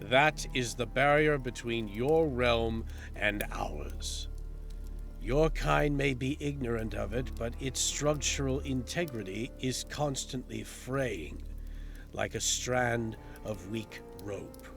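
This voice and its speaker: A man's voice: mid-range voice, takes it slowly, vocal fry, a bit bright, soft background music. A man playing a grave, formal councilor of another realm in an audio drama, solemn and matter-of-fact. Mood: grave explaining.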